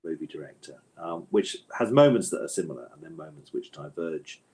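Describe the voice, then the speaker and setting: Low-voiced man, thoughtful and digressive. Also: expressive, brisk, mellow. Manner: hesitant, digressive, thoughtful phrasing with repeated tag questions. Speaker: interview guest, author. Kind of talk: casual literary interview chat.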